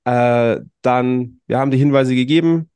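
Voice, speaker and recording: A man hosting a casual podcast, a little hoarse from a cold, chatty and upbeat with frequent filler words. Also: medium pitch, moderate pace, warm tone, no echo. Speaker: podcast host.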